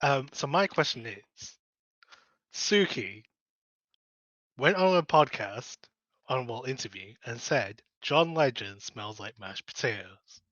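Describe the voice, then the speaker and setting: A man's voice: medium pitch, expressive, takes it slowly, a bit bright, no echo. A man chatting casually as a caller to a podcast. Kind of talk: casual chat. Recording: dull, lo-fi call audio.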